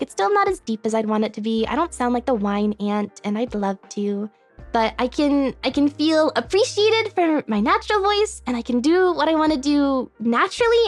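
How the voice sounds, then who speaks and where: A woman guest on a podcast, chatting casually in a bubbly, giggly way. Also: sits high.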